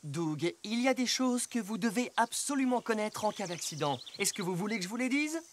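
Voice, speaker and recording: A woman voicing a calm, caring rescue police car who patiently teaches safety in a French children's cartoon. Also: medium pitch, fairly quick, slight background noise, close to an everyday voice. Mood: instructive. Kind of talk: French cartoon dub, instructional dialogue for children.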